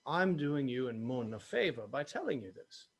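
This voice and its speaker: A man's voice: mid-range voice, very animated, moderate pace, vocal fry. Brash pirate captain played by a man. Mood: firm. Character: gruff roguish orc-hating pirate captain, male. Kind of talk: table read of a fantasy comedy script.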